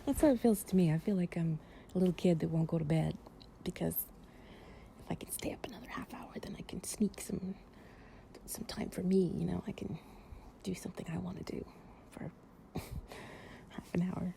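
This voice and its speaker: A woman chatting casually and intimately to the listener in an improvised relaxation roleplay, softly and breathily with drops into whispering, in a playful, sleepy, confiding way. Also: lowish voice, moderate pace, pauses often, low-quality recording. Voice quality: soft, breathy, often dropping to a whisper. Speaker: audio roleplay performer playing a loving companion.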